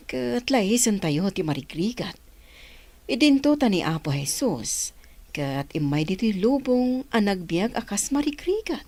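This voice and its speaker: A woman acting as a friendly, philosophical doctor in an Ilocano radio drama, teasing and expressive. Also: mid-range voice, dry recording, heavily acted.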